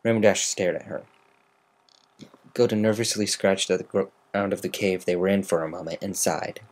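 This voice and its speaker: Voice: deep voice, steady tone, moderate pace. A narrator giving a semi-dramatic reading of a fan fiction story.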